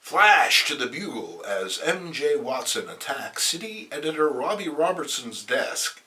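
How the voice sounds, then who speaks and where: A man performs a dramatic comic book narration in a playful, theatrical way. His voice sits a little high and moves through a huge range at an unhurried pace, dropping wry asides as he goes. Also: sing-song, sharp tone. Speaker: amateur comic book reader.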